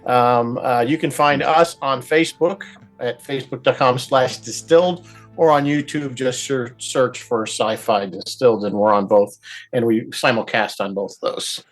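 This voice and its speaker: Guy guest casually plugging his show, normal pitch, little music underneath. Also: steady tone, moderate pace. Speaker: guest, co-host of a sci-fi show. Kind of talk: casual self-introduction.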